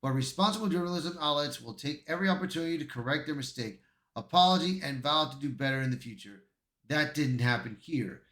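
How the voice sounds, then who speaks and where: A man's voice: a little high, lively intonation, a little fast, fairly bright tone. A man giving casual online commentary and reading aloud, often stumbling over his words. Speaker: YouTube commentator. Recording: roomy, echoey voice.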